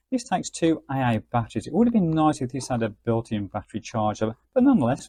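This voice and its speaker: A man narrating a casual product review in a chatty, measured, explanatory way. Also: medium pitch, darkish tone, a little hiss or hum. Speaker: radio gadget reviewer, host.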